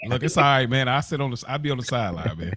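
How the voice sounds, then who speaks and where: A man's voice: mid-range voice, expressive, very fast, a bit bright. A man co-hosting a podcast in casual chat, speaking informally with slang and an appreciative manner. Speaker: podcast co-host and producer.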